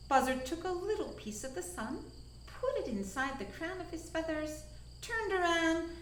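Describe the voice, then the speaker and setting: A woman reading a children's story aloud with dramatic, deliberate emphasis. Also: sits high, fairly bright tone. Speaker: storyteller from a science museum. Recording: echoey room, faint insect-like noise.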